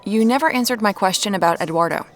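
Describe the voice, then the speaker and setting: An actress playing an inquisitive young American amateur detective with a dry, wry manner in an audio drama. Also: female voice, medium pitch, fast talker, soft background music, close to an everyday voice. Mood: wry, probing. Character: inquisitive, dryly funny amateur detective woman.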